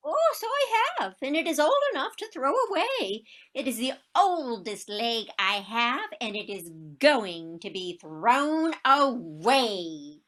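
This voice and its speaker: A woman playing a frail but feisty, stubbornly proud old lady in a stage comedy, deliberate and theatrical. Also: fairly high pitch, sing-song, fairly bright tone, full character voice. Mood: stubborn, indignant. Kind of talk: stage comedy acting, one-act play.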